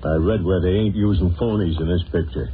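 A man's voice: deep voice, brisk, music in the background, close to an everyday voice. An actor playing a brash, streetwise gangster boss in a superhero audio drama, talking in slangy tough-guy fashion. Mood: confident. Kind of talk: old-time superhero audio drama acting. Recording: tinny, noisy old audio.

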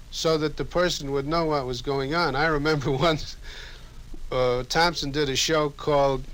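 An older man reminiscing in a halting, anecdotal way, as an interviewed radio veteran. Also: on the high side, fairly bright tone, rough recording. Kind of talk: spoken reminiscence.

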